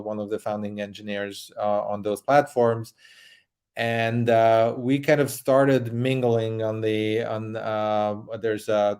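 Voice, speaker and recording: A man's voice: medium pitch, a little mellow. Chatty Hungarian-accented man on a video call. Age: middle-aged. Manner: chatty, frequent restarts and fillers. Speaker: startup co-founder guest. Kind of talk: casual interview talk. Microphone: video call webcam.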